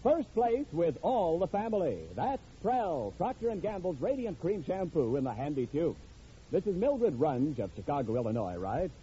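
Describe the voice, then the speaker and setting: A man's voice: a little high, very animated, moderate pace, mellow, slight background noise. An American man announcing a scripted 1940s radio show and its sponsor. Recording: muffled vintage radio broadcast.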